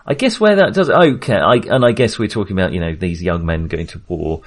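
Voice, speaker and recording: A man's voice: sits low, a little fast. A man in a casual film discussion, hesitantly searching for his words and often rephrasing as he goes. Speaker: film podcast host.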